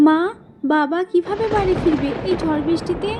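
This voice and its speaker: A woman's voice: extremely high pitch, normal speed, music in the background, voice pushed up. A woman voicing a timid, loving little daughter in a cartoon, with a pleading, childlike manner. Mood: frightened, worried. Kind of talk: Bengali cartoon voice acting.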